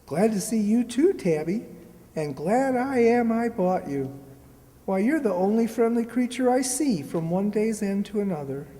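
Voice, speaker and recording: A humble, earnest servant boy, played by an actor in a radio play for children. The voice is mellow and affectionate, ringing in a reverberant hall. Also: mid-range voice, lively intonation, measured pace, slight background noise, natural voice. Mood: fond, chatty.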